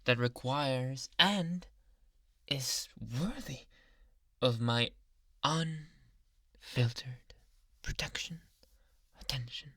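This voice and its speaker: A man's voice: mid-range voice, drawn-out words, pauses often, fairly bright tone, no echo. A man playing a possessive, doting young yandere doctor in an ASMR roleplay, murmuring breathily and slipping in and out of whispers close to the mic. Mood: smug, adoring. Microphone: close microphone.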